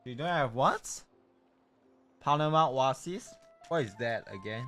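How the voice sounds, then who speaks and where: Slow, animated man livestreaming a game. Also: medium pitch, faint music behind. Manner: halting, repeats himself, swears casually. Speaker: livestreamer hosting a game stream. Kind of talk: casual livestream game chat.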